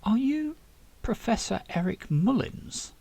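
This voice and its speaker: A man's voice: sits high, sing-song, slow pace, vocal fry, darkish tone, pitched above the performer's own voice, heavily acted. A man voicing a bewildered, curious male time traveller who speaks in puzzled questions in an audiobook. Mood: puzzled, hopeful.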